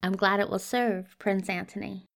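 A woman's voice: medium pitch, fairly even intonation, normal speed, creaky, lightly acted. A woman voicing a polite, agreeable male hero of the gentry, genteel and a little hesitant, in an audio drama. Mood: agreeable, conciliatory. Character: polite, agreeable, slightly flustered gentleman hero.

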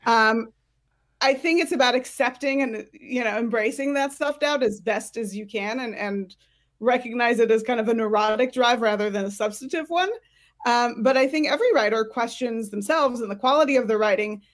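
A woman's voice: on the high side, moderate pace. A woman speaking conversationally as a career coach giving advice on a panel.

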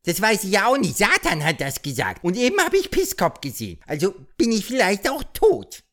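A man voicing a dim, naive, whiny young squirrel in a comic audiobook, asking things in a slow-witted, childlike way. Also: high-pitched, wildly swooping pitch, fairly bright tone, soft background music, pitched above the performer's own voice, full character voice. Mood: sleepy, clueless.

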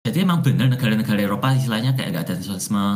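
A man's voice: lowish voice, lively intonation, very fast, slight background noise. A young man from Indonesia, talking reflectively as an interview guest, with many fillers and some English phrases. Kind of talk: casual interview chat.

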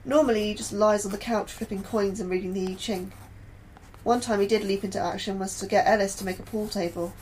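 A woman's voice: mid-range voice, fairly even intonation, a little fast, a little mellow, natural voice, low-quality recording. Anxious young science officer, a woman acting, faint noise behind. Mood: confiding. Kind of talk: audio drama, confiding log narration.

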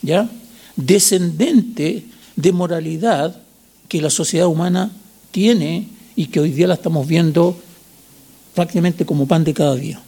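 A man in his sixties with a Chilean accent preaching a sermon, teaching didactically with emphatic repetition and short check questions, in a gravelly, husky voice. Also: fairly high pitch, unhurried, dark tone. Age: 60s. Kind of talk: sermon with Bible reading and commentary.

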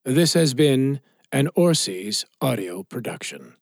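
A man's voice: medium pitch, very animated, slow pace, vocal fry, dry recording, clean studio sound. A man announcing scripted audio drama credits in a theatrical style.

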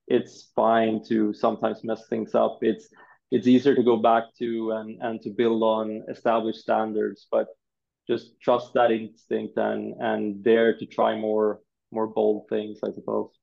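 Gravelly low Swedish man, halting. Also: monotone, lo-fi sound. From Sweden. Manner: thoughtful, halting, with frequent repeated words. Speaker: UX designer. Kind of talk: interview answers.

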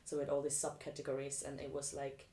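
Low woman, echoey room. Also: monotone, fast talker, warm tone. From Vienna, Austria. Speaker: interview guest, dancer. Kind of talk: casual chat, telling personal experiences. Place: living room.

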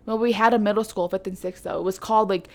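A woman's voice: medium pitch, fairly even intonation, fast. A young adult woman co-hosting a casual chat, rambling with many fillers and poking fun at herself. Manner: self-mocking, playful. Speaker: podcast co-host.

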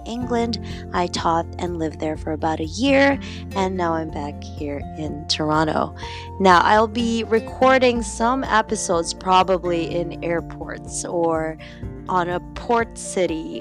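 A young woman from the Philippines with a slight Filipino accent, hosting her own podcast in a chatty, upbeat way. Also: on the low side. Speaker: podcast host. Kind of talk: casual solo podcast chat.

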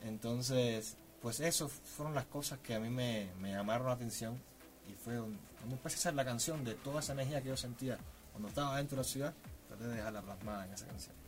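A man's voice: mid-range voice, fast. A Cuban man, a musician guest, chatting casually in an interview, rambling with restarts and tag questions. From Cuba.

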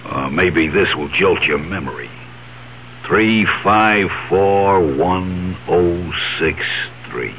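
A sinister male blackmailer in a radio play, taunting his mark with sly relish. His voice is extremely low and unhurried, on a thin, band-limited vintage recording. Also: soft background music. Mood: sly menace. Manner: sly, taunting, drawn-out delivery. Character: menacing, grinning male blackmailer from the past. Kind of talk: radio drama acting. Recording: noisy, muffled 1970s radio broadcast recording.

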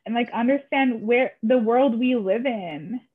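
Woman, podcast co-host, impassioned, lots of "like", higher voice, tinny phone sound. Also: a little slow, husky. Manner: impassioned, many "like" fillers. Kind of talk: earnest casual conversation.

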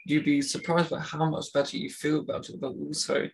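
A man's voice: a little high, level tone, fairly quick, vocal fry, poor audio. A man who stammers, talking casually and openly with many fillers. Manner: stammers, talks openly about it. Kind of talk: casual conversation.

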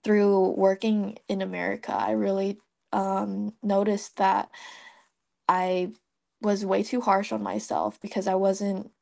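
A young woman from Vietnam, a model and podcast guest, telling her story in a relaxed way with many ums. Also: medium pitch, slow, gravelly. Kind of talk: casual interview chat.

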